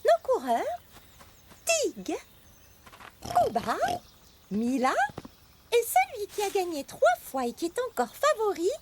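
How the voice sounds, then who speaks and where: A woman voicing a small, excitable forest animal who announces a race in a cartoon. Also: extremely high pitch, slow, long pauses, very noisy, heavily acted. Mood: announcing. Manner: lively, exclamatory. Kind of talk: French cartoon dubbing. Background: cartoon music.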